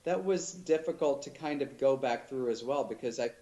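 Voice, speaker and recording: A man being interviewed as an author, talking in a thoughtful, halting way with many restarts. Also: medium pitch, dark tone, dull audio. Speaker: author guest. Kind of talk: casual interview chat.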